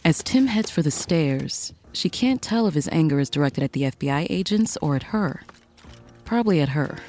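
A woman's voice: deep voice, expressive, moderate pace, dark tone. A woman reading scripted, dramatic story narration for a fiction podcast.

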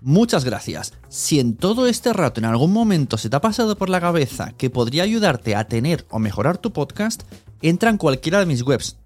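Spanish guy from spain hosting a podcast, chatty, highish voice, soft music behind. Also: sing-song, normal speed. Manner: chatty, direct address to listener. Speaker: podcast host. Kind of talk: casual podcast monologue.

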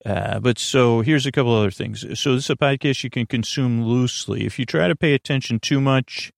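A man hosting a bedtime podcast in a rambling monologue, halting and stammering with frequent false starts and self-corrections, in a gravelly voice. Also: mid-range voice, dry recording, studio quality. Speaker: podcast host.

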